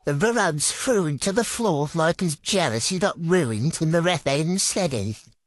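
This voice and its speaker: British man ranting, voice swinging wildly in pitch. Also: animated, normal speed, no room sound. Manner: foul-mouthed, exasperated complaining. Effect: pitch-altering trickster voice filter. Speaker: gamer commentating on own football game play. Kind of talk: gaming commentary with ranting.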